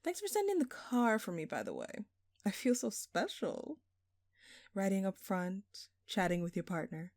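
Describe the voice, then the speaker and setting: A woman's voice: mid-range voice, very animated, normal speed, a little mellow, no room sound, clean studio sound, close to an everyday voice. A woman playing a smug, obnoxiously flirtatious thief in an audio roleplay, drawling and teasing in a sultry, mock-innocent way. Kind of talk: audio roleplay acting addressed to the listener.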